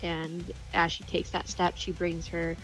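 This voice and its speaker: A woman's voice: fairly low pitch, completely flat delivery, a little slow, fairly bright tone, soft background music, poor audio. A woman playing a tabletop game, casually and informally describing her character's moves.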